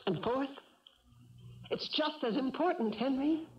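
An actress playing a fed-up, wounded wife who questions pointedly and firmly, in 1970s radio drama style. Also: female voice, sits high, measured pace. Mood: insistent. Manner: pointed, interrogating. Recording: muffled vintage radio broadcast.

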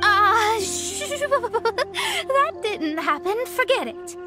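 A woman's voice: extremely high pitch, expressive, drawn-out words, over loud music, full character voice. A woman voicing a proud, easily flustered young astrologist in a video game, speaking in haughty, formal phrases.

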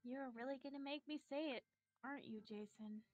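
A woman voicing a sad, self-blaming, fragile teenage anime girl in a visual novel, with halting emotional delivery. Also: high-pitched, faint music behind, natural voice. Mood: resigned. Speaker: amateur reader of a visual novel character. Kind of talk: dramatic visual novel reading.

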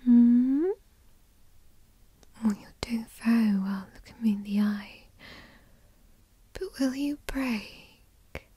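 A woman playing a tall, dominant, doting girlfriend in a whispered ASMR roleplay, teasing and coddling softly, close to the microphone. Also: fairly high pitch, slow, pauses often, full character voice. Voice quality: soft, breathy whisper.

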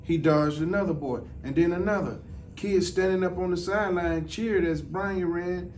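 A man's voice: high-pitched, normal speed. Middle-aged man reading aloud, slightly distant. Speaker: deputy fire chief. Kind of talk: children's storybook reading. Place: indoor office, seated. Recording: distant mic, some background noise.

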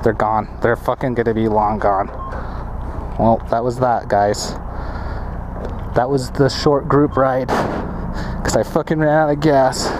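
Slow-talking male motovlogger over loud engine noise. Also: mid-range voice, steady tone. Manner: profane, slangy. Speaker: motorcycle vlogger. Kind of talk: casual riding commentary. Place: outdoors, riding a motorcycle on roads. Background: loud engines and traffic.

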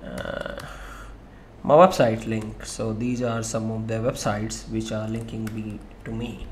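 A man giving a loose, conversational screen-share tutorial on SEO software, with frequent fillers and restarts. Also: fairly low pitch, little pitch movement, a little slow, slight background noise.

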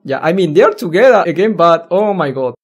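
A man's voice: high voice, expressive, brisk, husky, highs cut off. A man giving casual let's-play commentary, reading lines aloud and rambling, stumbling over words and swearing mildly. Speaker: let's player. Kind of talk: casual reaction commentary while reading a visual novel aloud.

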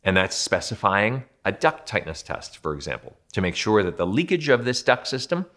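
A man's voice: fairly low pitch, big pitch swings, moderate pace, a bit bright. A man in his thirties, a building performance consultant, explaining home quality control to camera in a conversational, self-deprecating way from an indoor studio.

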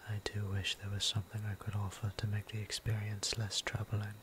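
A man voicing a gentle, courtly vampire priest in an ASMR roleplay, breathy and half-whispered, with formal, tender, old-fashioned phrasing. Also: lowish voice, completely flat delivery, a little fast, lightly acted. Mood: caring, soothing. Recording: clear background noise and ambience.

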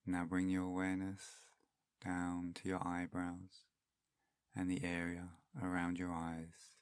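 A man with a deep voice leads a guided meditation very slowly, leaving long pauses between phrases. His delivery is soft-spoken and extremely even, almost flat in pitch. The sound is close and dry, with a soothing, unhurried manner. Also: smooth, no room sound.

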